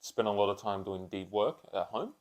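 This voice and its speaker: A man's voice: lowish voice, moderate pace, darkish tone. A man in his twenties chatting casually and unscripted as a podcast co-host, with blokey, sweary, slangy talk. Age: 20s.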